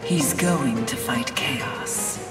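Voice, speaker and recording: Game witch: low, slow, grave and weary, formal diction, quietly resolute, music underneath. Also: full character voice. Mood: grave, resigned. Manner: solemn, slow, measured delivery with formal wording. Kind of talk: video game cutscene voice acting. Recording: music behind the voice.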